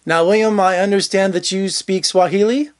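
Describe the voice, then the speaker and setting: A man's voice: high voice, steady tone, moderate pace. A man hosting interviews as a film critic, with an upbeat, promotional manner. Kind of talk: interview host.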